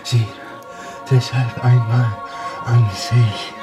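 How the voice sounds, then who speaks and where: Radio-play captive: weak, pleading, medium pitch, very slow and struggling, repetitive, music underneath. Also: male voice, level tone. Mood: urgent persuasion. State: faint, struggling to speak. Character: weakened, desperate trapped man pleading for escape. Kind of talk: German radio drama acting.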